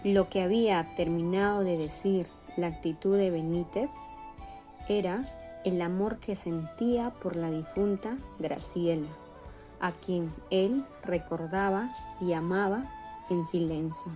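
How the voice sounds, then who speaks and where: Slow, lower-pitched woman narrator. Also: dark tone. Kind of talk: scripted narration of a radio novel. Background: faint music.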